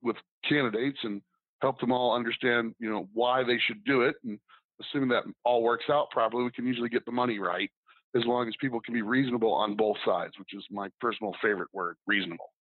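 A man's voice: mid-range voice, steady tone, fast, no echo. A man who is a guest on a business podcast, rambling casually with lots of ums and restarts. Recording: dry tinny phone-like line.

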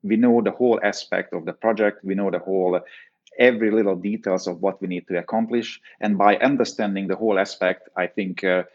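A man's voice: sits low, steady tone, fairly quick. A man from Hungary with a Hungarian accent, explaining things as an engineering guest in an interview. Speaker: podcast guest, engineering executive. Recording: dull, lo-fi interview audio.

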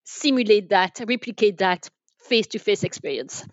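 A woman's voice: medium pitch, expressive, moderate pace, dry recording, lo-fi sound. A woman from France with a French accent, giving conversational answers as a podcast interview guest. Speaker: podcast guest, telecom sales manager.

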